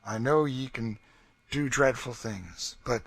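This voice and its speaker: A quiet, devout man of firm faith, as a male reader voices him, calm and earnest even under threat. The reader lifts his pitch a little for the part, speaking steadily and unhurriedly. The tone is bright and clear. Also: slow, dry recording, heavily acted.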